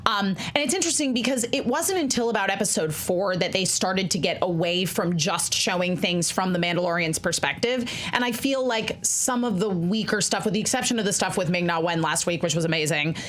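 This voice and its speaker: A woman in her thirties chatting eagerly on a studio panel show, speaking into a desk microphone. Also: medium pitch. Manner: eager, gushing, quick asides. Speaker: panelist on a film news show. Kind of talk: casual panel chat. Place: TV studio set.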